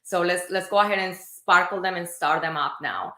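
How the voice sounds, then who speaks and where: A woman's voice: lowish voice, normal speed, sharp tone. A woman hosting a livestream, upbeat and enthusiastic, talking straight to viewers. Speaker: livestream host. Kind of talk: casual livestream interview. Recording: echoey room.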